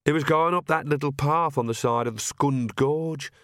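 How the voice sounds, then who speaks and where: A man's voice: on the high side, normal speed, fairly bright tone, dry recording, clean studio sound, close to an everyday voice. A man voicing a bookish young woman witch in an audiobook, speaking in an earnest, hesitant manner. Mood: earnest, matter-of-fact.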